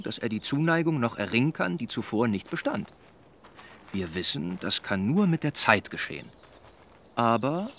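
Earnest young gentleman, lively intonation. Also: mid-range voice, a little hiss or hum. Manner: measured, formal, persuading. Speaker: radio drama actor. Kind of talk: German radio drama dialogue.

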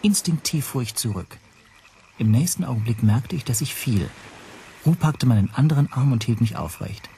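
An actor playing a reflective first-person narrator in a radio play, measured and introspective. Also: male voice, medium pitch, lively intonation, creaky, mellow, a little hiss or hum. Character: reflective male narrator telling his own story.

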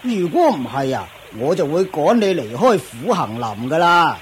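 Strict, self-important ascetic master, radio drama; high, animated delivery, lecturing. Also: male voice, slight background noise. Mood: stern lecturing. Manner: lecturing, punctuated with drawn-out fillers and grunts. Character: pompous, strict Taoist-style master of a forest of ascetics. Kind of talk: Cantonese Buddhist radio drama acting.

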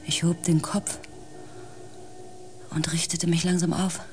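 A woman's voice: on the low side, little pitch movement, slow pace, darkish tone, soft background music. An actress playing a female space pilot, grave and intense, in a science fiction radio play. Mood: tense, haunted. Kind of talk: radio play acting, dramatic first-person narration.

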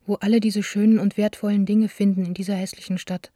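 A woman's voice: medium pitch, flat delivery, fast talker, mellow, no room sound, clean studio sound, lightly acted. An actress playing a lovesick young woman who reads her intimate, wistful letters aloud in a radio play. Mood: musing, tender.

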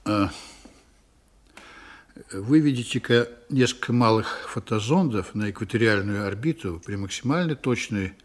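A man's voice: fairly low pitch, expressive, measured pace. An actor playing a stern, weary male starship commander who gives curt, clipped orders in a radio drama. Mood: brusque, irritated.

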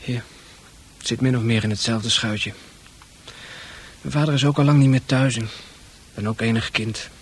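A man playing a shy, gentle teenage boy in a radio play, speaking softly and awkwardly with a breathy, husky voice. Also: medium pitch, fairly even intonation, moderate pace, pauses often. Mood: glum, irritated. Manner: hesitant, soft-spoken, awkward. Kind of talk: intimate Dutch radio play acting.

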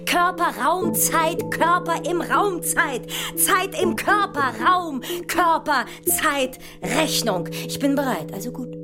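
A woman's voice: high-pitched, lively intonation, takes it slowly, hardly any pauses. An actress playing a pensive, questioning woman mathematician in a poetic, lyrical audio drama. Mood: resolute, incantatory. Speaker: performer in an experimental audio drama. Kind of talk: poetic theatrical dialogue with musical repetition. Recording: voice under loud music.

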